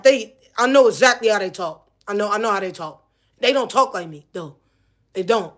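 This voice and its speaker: A woman's voice: on the high side, fairly bright tone. A woman with a Missouri accent, ranting casually to camera, heated and profane, repeating herself. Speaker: vlogger.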